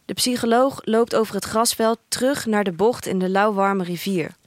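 A woman's voice: mid-range voice, moderate pace, no echo, natural voice. An actress playing a troubled woman who tells a bleak future tale in an introspective, dramatic monologue for an audio drama. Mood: somber, reflective. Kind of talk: literary first-person audio drama monologue.

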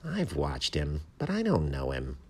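A man voicing a gentle, earnest, modest bat who is a poet in a children's storybook. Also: deep voice, expressive, takes it slowly, slightly rough, heavily acted. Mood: doubtful, thoughtful. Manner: earnest, thoughtful, mild. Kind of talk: children's storybook read aloud.

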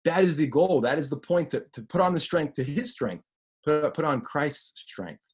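A man with a deep voice preaching a sermon as pastor, animated and repeating phrases for emphasis. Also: normal speed, slightly rough.

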